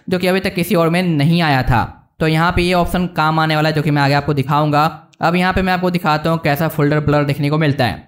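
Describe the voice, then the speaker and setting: An Indian man narrating a tech review walkthrough as channel host, explaining things informally and speaking straight to viewers. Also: on the high side. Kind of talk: casual screen-walkthrough narration of a phone software review.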